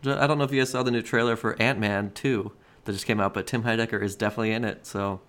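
A man's voice: fairly low pitch, fairly even intonation, quick pace, a bit bright. A man co-hosting a comedy podcast, chatting casually with dry, teasing humour. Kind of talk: casual chat.

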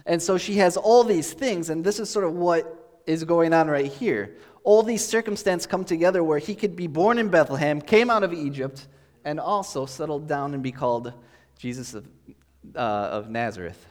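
Man preaching in a reverberant hall. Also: on the high side. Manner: relaxed, explanatory, with thinking-aloud pauses. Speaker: pastor. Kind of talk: conversational sermon.

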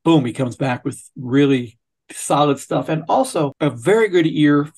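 A man's voice: fairly high pitch, normal speed, a little mellow, breathy edge. A man hosting a podcast in a casual, rambling, conversational way. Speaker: podcast host. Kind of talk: casual chat and interview.

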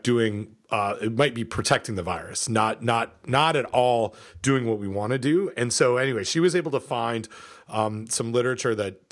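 A middle-aged man co-hosting a podcast, chatting casually with many fillers, pauses and restarts. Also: mid-range voice. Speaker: podcast co-host.

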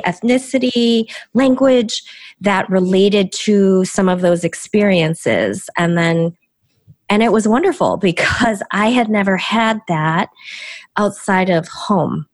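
A middle-aged woman, raised in the United States with Korean as her first language, speaks as a podcast guest, telling her story in a thoughtful, halting way with many restarts. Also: medium pitch, dry recording. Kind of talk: reflective storytelling interview.

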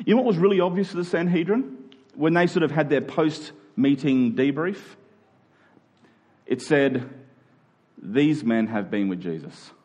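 Higher-voiced man preaching conversationally. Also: expressive, measured pace, pauses often, a little mellow. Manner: colloquial, dramatizing imagined exchanges; emphatic, repeating key phrases. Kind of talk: conversational sermon to a church congregation.

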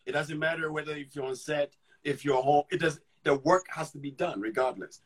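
A man from Ghana, an actor, talking about his career in a relaxed interview, often restarting and repeating words as he thinks. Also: a little high, brisk, crowd noise. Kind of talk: casual conversational storytelling.

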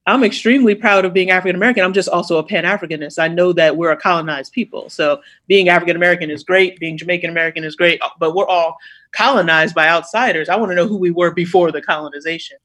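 A man with a notably high voice co-hosts a podcast, earnest and probing as he asks pointed questions. The sound is dry and close. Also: fairly even intonation, quick pace, sharp tone, no room sound. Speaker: podcast co-host. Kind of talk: casual podcast chat.

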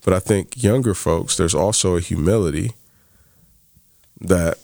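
A man's voice: sits low, unhurried, gravelly, darkish tone, no echo. A man in his thirties co-hosting a faith-based podcast, telling stories in a casual way with many fillers. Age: 30s. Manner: storytelling, quoting others, many fillers like "like". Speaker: podcast co-host.

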